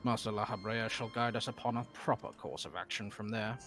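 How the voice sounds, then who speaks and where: A man's voice: fairly low pitch, steady tone, a little fast, a bit bright, close to an everyday voice. A man voicing a formal male official guarding a research facility, reading game dialogue in stiff, archaic phrasing on a live stream. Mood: stern.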